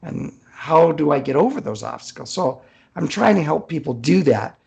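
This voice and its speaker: A man's voice: fairly high pitch, lively intonation, normal speed, vocal fry, darkish tone, breathy edge. An older man chatting casually as an author guest on a podcast, hesitant and rambling as he thinks aloud. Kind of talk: casual unscripted interview chat.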